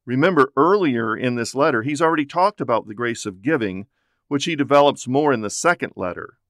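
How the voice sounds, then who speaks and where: A man's voice: medium pitch, expressive, a little fast, fairly bright tone, no room sound, studio quality. A man giving a conversational, explanatory Bible teaching talk as a podcast host.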